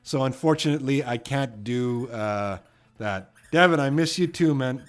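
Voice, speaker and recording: A man's voice: medium pitch, moderate pace. A man from Edmonton with a Canadian accent, hosting a trivia live stream in a loose, rambling way and reading out viewer comments. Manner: chatty and rambling, many fillers and "you know what".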